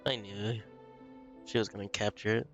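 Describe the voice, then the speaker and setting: A man's voice: lowish voice, little pitch movement, normal speed, lo-fi sound, over music. A man giving casual, offhand commentary while playing a video game. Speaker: gamer commenting on own playthrough.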